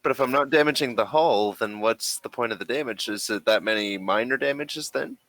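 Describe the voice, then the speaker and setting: A man running a tabletop game as game master, talking casually and haltingly as he thinks rules through aloud. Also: medium pitch, a bit bright, no room sound.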